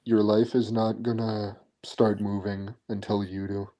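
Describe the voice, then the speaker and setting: Low, dark-voiced man in casual chat. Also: flat delivery. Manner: halting, restarts sentences, blunt and opinionated.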